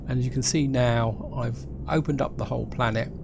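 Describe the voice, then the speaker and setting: Guy with a cold chatting over his gameplay, kinda gravelly, big engine rumble behind him. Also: fairly quick. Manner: relaxed, explains step by step, often restarts phrases. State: has a cold. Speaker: gameplay video host. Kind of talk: casual gameplay commentary. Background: loud vehicle and engine rumble from the game.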